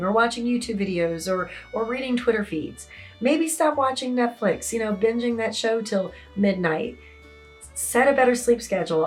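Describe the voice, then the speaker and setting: Raspy, hoarse woman chatting casually. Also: medium pitch. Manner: chatty, conversational, lots of "you know". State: hoarse from yelling. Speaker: indie romance author. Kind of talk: casual talking-head advice vlog. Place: home office with open windows.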